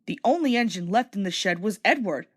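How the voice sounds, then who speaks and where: A woman reading a children's story aloud as an expressive, scripted read-along narrator. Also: medium pitch, a little fast.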